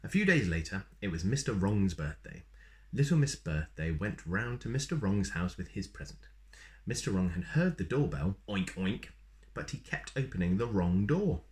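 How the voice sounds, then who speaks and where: A man in his thirties reading a children's story aloud in an expressive, playful way. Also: low-pitched, moderate pace. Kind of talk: animated read-aloud with asides to young listeners. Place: small plain room indoors.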